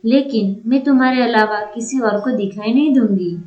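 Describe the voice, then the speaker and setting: A woman's voice: fairly high pitch, normal speed, lightly acted. Woman putting on a secretive fairy, soothing, coaxing, cartoon story, dull echoing sound. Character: gentle, secretive young fairy befriending a child. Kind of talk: Hindi moral story cartoon dialogue.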